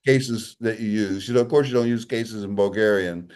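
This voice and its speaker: A man's voice: lowish voice, normal speed, a little mellow. Talkative man in casual conversation.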